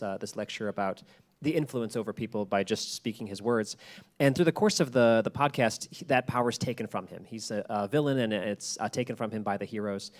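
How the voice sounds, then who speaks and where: A man speaking as a podcast creator giving a lecture, explaining in an earnest, conversational way and repeating phrases for emphasis. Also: fairly low pitch. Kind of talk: prepared talk, explanatory and conversational.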